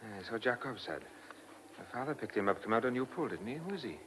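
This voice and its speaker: A man's voice: medium pitch, sing-song, quick pace, lightly acted. A man playing a blunt, teasing young farmer and sweetheart in a radio drama. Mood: teasing, fond. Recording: noisy radio play recording.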